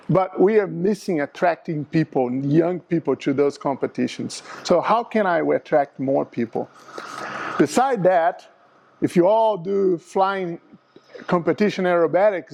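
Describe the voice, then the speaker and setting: A man's voice: fairly high pitch, gravelly, darkish tone, lo-fi sound. A middle-aged man from Brazil with a Brazilian Portuguese accent, giving a presentation to a flying club and often checking with the audience. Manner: explanatory, asks audience for agreement. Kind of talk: slide presentation talk.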